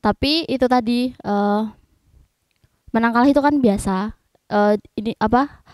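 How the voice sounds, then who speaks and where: A woman's voice: a little high, measured pace, a little mellow, dry recording, studio quality. Modest young woman, hesitant speech. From Indonesia. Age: young adult. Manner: modest, hesitant, many "eh" fillers. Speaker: student guest.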